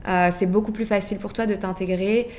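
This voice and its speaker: Woman from France, podcast guest, candid, lively and easy-laughing, mid-pitched voice. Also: a little fast. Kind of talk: casual conversational interview.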